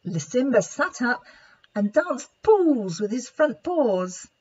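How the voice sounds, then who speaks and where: A woman reads a children's storybook with lively, varied intonation. She takes it slowly in a husky voice, and the recording sounds a little dull and lo-fi. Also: a little high. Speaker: storybook reader, play therapist. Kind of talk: expressive children's story narration.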